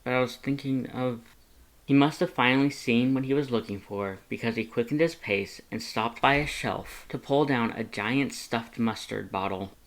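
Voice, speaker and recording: A narrator reads a story aloud as an audiobook. The voice is mid-pitched, and the delivery is scripted storytelling. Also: moderate pace, few pauses. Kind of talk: scripted fan fiction narration.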